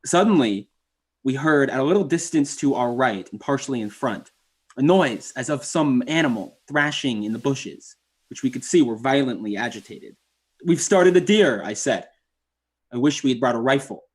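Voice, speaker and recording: An actor playing a proud, defensive young writer giving formal, precise testimony in an old-time radio drama. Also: male voice, medium pitch, expressive, normal speed, husky. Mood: grave, narrating. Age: young adult.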